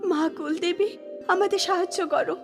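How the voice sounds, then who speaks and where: High-voiced, caring village mother. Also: fairly even intonation, normal speed, soft background music. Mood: praying. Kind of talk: cartoon voice acting.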